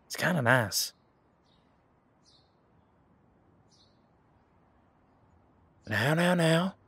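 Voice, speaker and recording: A man playing an earnest, teasing werewolf boyfriend in an intimate roleplay audio drama, speaking casually and haltingly with a husky voice. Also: a little high, animated, fairly bright tone, no echo, full character voice. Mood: excited, sheepish. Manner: casual, halting, conversational with trailing pauses. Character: male werewolf boyfriend, earnest, hopeful, teasing former bodyguard.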